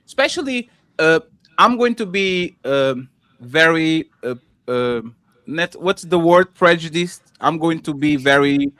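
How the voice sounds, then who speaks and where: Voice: mid-range voice, lively intonation, a little slow, a bit bright, no echo, studio quality. A host with a Russian accent in a casual group chat, teasing, cutting in and searching for words.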